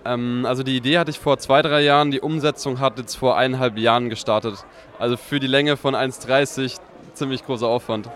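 A man in his mid-twenties with an ordinary mid-range voice who speaks calmly and thoughtfully. He is recorded outdoors in a city setting. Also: mid-range voice, fairly quick. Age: 20s. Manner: calm, reflective. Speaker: film graduate.

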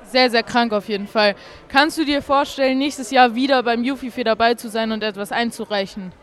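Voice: mid-range voice, moderate pace, a bit bright. A host interviewing young filmmakers outdoors at a film festival, in slangy, enthusiastic casual talk. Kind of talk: casual vox-pop interview.

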